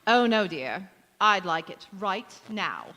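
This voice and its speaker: A woman's voice: medium pitch, sing-song, slow pace, sharp tone, exaggerated voice. A woman playing a doting, condescending older mother-in-law in 1940s radio comedy, cooing and mock-polite. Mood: sweetly catty. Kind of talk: 1940s radio comedy acting, staged revival. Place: community theatre stage in a church. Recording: echoey hall, long reverb.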